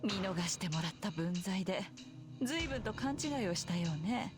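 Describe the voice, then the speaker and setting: An actress voicing a haughty, condescending sorceress villainess in a Japanese visual novel, taunting with coldly polite menace. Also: female voice, mid-range voice, expressive, fast talker, lightly acted. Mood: scornful. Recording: game audio under loud music.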